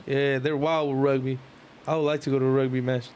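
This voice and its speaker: A man's voice: medium pitch, little pitch movement, fairly quick, darkish tone. A man co-hosting a podcast, chatting casually with friends in slangy, swearing speech peppered with "bro". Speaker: podcast co-host. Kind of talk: casual chat among friends. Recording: podcast mic with faint background noise.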